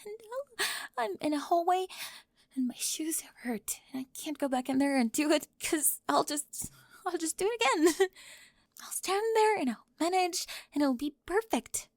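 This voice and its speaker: Actress as fragile, defensive teen perfectionist in ASMR roleplay. Breathy, halting delivery with a creaky edge, very close and dry. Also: female voice, very high voice, animated, dry recording, exaggerated voice. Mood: upset, spiraling. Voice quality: breathy, often dropping into whisper. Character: anxious perfectionist teenage girl at prom, vulnerable, defensive. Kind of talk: ASMR roleplay audio drama.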